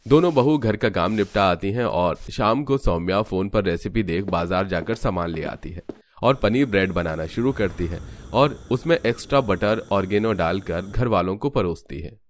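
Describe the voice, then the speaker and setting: A man's voice: deep voice, normal speed. A man narrating a scripted cartoon family story.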